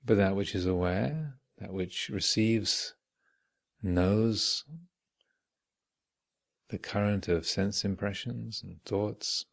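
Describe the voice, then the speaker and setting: A man leading a guided meditation as a teacher, speaking in measured, contemplative short phrases with long reflective pauses. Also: on the low side, creaky. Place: meditation retreat hall.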